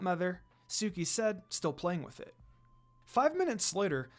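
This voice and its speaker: Lively-pitched fan fiction narrator. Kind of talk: scripted story narration.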